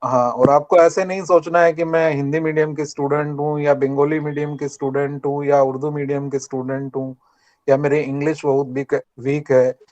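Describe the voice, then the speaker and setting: Older man, slightly high voice, earnest and repetitive, motivational talk, lo-fi call audio. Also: flat delivery, normal speed, darkish tone. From Rajasthan, India. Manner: earnest, repeats points and asks students to answer back. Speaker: guest speaker at a college workshop. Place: online video meeting. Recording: dull lo-fi online call audio.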